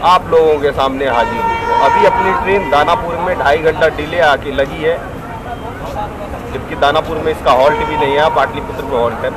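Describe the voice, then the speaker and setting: A man's voice: fairly high pitch, poor audio. A young man chatting casually to viewers as a travel vlogger, talking into an action camera held at arm's length on an outdoor railway platform and in a train coach. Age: young adult. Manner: chatty, talks straight to viewers, many fillers. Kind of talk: casual vlog chat to camera. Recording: loud station and train noise.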